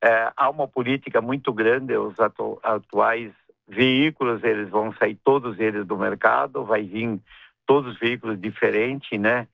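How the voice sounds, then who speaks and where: A middle-aged man with a gaúcho accent from southern Brazil, a businessman guest talking in a radio interview, rambling and halting with many restarts and tag questions. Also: on the low side, steady tone, creaky, no echo. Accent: Gaúcho Portuguese. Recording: tinny phone-line radio sound.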